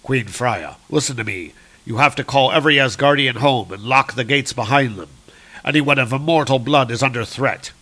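A man's voice: mid-range voice, moderate pace, sharp tone. A man voicing a proud, grave warrior god of thunder with declamatory, heroic speech for a comic-book story. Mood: urgent.

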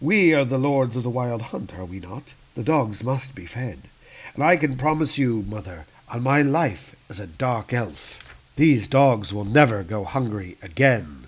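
A man voicing a bitter, cruel dark elf lord who speaks in a raised, menacing voice. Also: mid-range voice, lively intonation, unhurried, husky. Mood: cold, menacing.

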